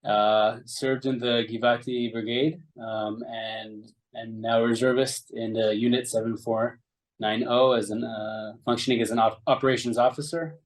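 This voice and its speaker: A man's voice: mid-range voice, flat delivery, normal speed, low-quality recording. A man in his thirties from Canada giving a brief self-introduction as a reserve officer, with fillers. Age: 30s. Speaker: reserve operations officer guest.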